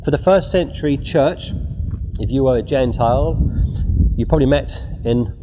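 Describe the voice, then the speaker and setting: A man's voice: medium pitch, normal speed, big-room sound, noisy background. A man preaching a sermon outdoors, reading scripture and pressing his warnings with emphasis. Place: outdoors before scenery.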